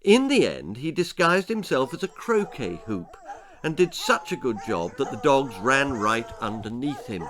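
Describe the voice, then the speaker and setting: A man's voice: medium pitch, big pitch swings, unhurried, crowd noise. An English man reading his own comic children's story aloud as a dramatic narrator who relishes each detail.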